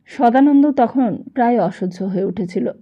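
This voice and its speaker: A woman narrating a literary novel as an expressive audiobook storyteller. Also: on the high side, normal speed, narrow, tinny audio.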